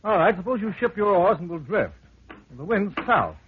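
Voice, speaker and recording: An actor playing a composed, bold investigator in a vintage radio drama, probing with measured questions and reassurance. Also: male voice, sits high, very animated, slight background noise. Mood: brisk, curious. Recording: tinny, narrow-band old radio recording.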